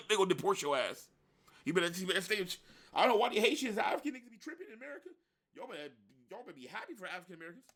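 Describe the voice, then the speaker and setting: A man on a casual rant, blunt and full of slang and curses. His voice sits a little high and sounds bright and forward. He talks loose and animated, repeating himself for emphasis. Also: racing, husky.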